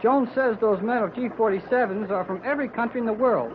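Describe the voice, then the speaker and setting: A man's voice: very high-pitched, fast. A man playing an earnest, worried teenage boy aboard a boat in an old-time radio adventure, with an American accent. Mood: stubborn, worried. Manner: earnest, boyish. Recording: muffled, noisy old radio recording.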